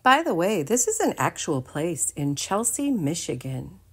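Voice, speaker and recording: A woman's voice: lowish voice, very animated, unhurried, slightly rough. A woman reading a children's storybook aloud expressively.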